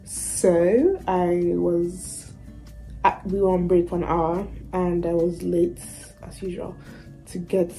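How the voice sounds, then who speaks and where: Chatty young woman, slightly raspy voice. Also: fairly low pitch, fairly even intonation, slow, warm tone, soft background music, rough recording. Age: young adult. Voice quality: raspy from lost voice. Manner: chatty, rambling with fillers and restarts. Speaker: student vlogger. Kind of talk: casual vlog storytime. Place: small student bedroom indoors.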